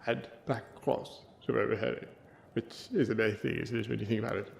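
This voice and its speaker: A man's voice: on the low side, fairly even intonation, fairly quick, gravelly. A man playing a befuddled old wizard who mumbles and rambles through tall tales in a comic ASMR roleplay, trailing off into muttered asides. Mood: muttering, befuddled. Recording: roomy echo, faint background noise and ambience.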